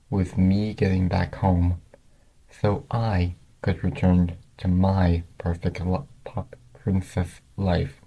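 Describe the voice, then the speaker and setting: A man's voice: low-pitched, gravelly, mellow, low-quality recording. A man narrating first-person fan fiction, reading it aloud as a storyteller.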